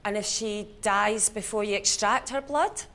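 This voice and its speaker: A woman's voice: mid-range voice, a little slow, fairly bright tone. A woman playing a cold, ruthless corporate boss in a naturalistic screen drama. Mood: cool, commanding.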